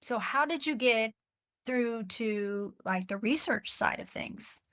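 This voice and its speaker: A woman's voice: fairly high pitch, expressive, slow pace, a bit bright, dry recording. A woman hosting an interview, asking questions in an upbeat, welcoming way. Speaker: podcast host.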